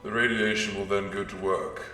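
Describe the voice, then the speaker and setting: A man's voice: fairly low pitch, level tone, moderate pace, sharp tone. A man voicing a field scientist who reads out a grim, clinical report in an audiobook, with a strong echo on the voice. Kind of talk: audiobook character reading a written report. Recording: very echoey, reverberant sound.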